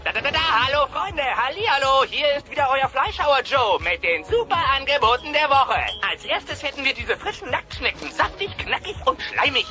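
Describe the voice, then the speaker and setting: An actor playing a hammy, folksy dinosaur butcher who gushes through a parody TV commercial. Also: male voice, extremely high pitch, big pitch swings, normal speed, hardly any pauses, full character voice. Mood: gushing sales pitch. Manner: hard-selling, gushing. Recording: muffled cassette transfer with loud music.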